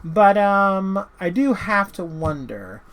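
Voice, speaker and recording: A man's voice: high-pitched, big pitch swings, takes it slowly, a bit bright. A man hosting a casual, unscripted review, rambling and often stammering and interrupting himself as he talks. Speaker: host of a horror movie review channel.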